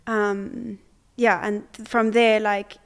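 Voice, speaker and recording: Young woman from Zimbabwe, artist, interview answers, hesitant, full of ums, medium pitch. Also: level tone, takes it slowly. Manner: hesitant, many fillers and restarts. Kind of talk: conversational interview.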